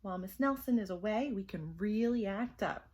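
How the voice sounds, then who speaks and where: A woman's voice: medium pitch, normal speed, a little mellow, lightly acted. A woman voicing mischievous young schoolchildren in a storybook, cheeky and exclamatory. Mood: gleeful.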